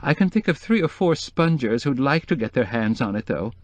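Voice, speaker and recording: A man voicing a distraught middle-aged woman who keeps breaking into tears, her voice quavering and climbing high. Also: very animated, fairly quick, no room sound, close to an everyday voice. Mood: tearful.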